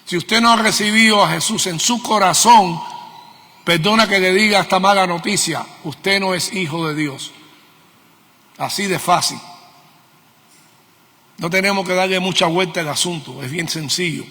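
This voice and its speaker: Male preacher, Cuban accent, high-pitched, forceful, didactic sermon delivery, key words repeated. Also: moderate pace, long pauses. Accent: Cuban Spanish. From Cuba. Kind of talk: sermon, teaching with questions to the congregation. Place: church meeting hall. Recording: long reverb of a hall.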